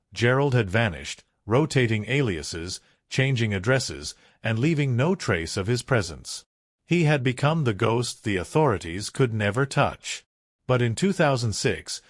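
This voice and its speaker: A man's voice: mid-range voice, no echo, studio quality. A man narrating a scripted true-crime documentary, telling it dramatically in short, punchy phrases.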